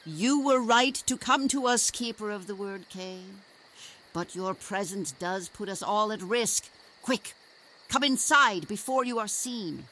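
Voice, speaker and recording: A woman's voice: medium pitch, lively intonation, pushed and bright, slight background noise. A woman playing a solemn, wise carnival ringmaster in an audio drama, telling tales in a grave, formal manner. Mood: solemn welcome. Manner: grave, deliberate, formal storytelling.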